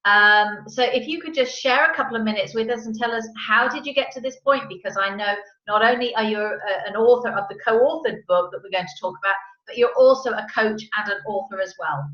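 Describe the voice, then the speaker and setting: A woman's voice: on the high side, fairly quick, few pauses, sharp tone. Woman interviewer in a roomy, dull recording. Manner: friendly, brief backchannels. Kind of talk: interview hosting.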